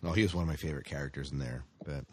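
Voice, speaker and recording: A man hosting a podcast in casual chat, with hesitant, measured phrasing. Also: a bass voice, breathy edge, no echo, clean studio sound. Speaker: podcast host. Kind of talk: casual chat about TV shows.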